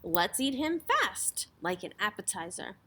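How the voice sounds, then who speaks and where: A woman's voice: mid-range voice, big pitch swings, a little slow, fairly bright tone. A woman voicing a greedy but naive monster stove in a storybook, with a theatrical, pleading manner. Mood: eager.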